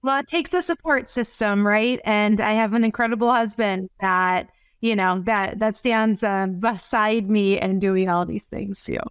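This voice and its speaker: A woman's voice: mid-range voice, clear, resonant tone, no echo. Chatty middle-aged woman with a Wisconsin accent. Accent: northern Wisconsin. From northern Wisconsin. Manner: chatty and enthusiastic, fast runs of words. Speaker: podcast guest, dairy coach. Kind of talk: casual interview chat.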